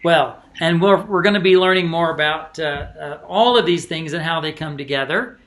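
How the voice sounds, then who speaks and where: A man's voice: on the high side, moderate pace, a bit bright. A man in casual conversation, commenting on a story as its author and co-host.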